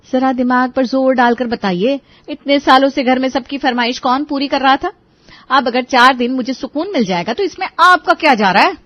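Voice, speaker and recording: A woman voicing a scheming, bossy, sharp-tongued wife in a family cartoon, scolding and sarcastic. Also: sits high, moderate pace, no echo, highs cut off, lightly acted. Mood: irritated, sarcastic. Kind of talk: Hindi family cartoon voice acting.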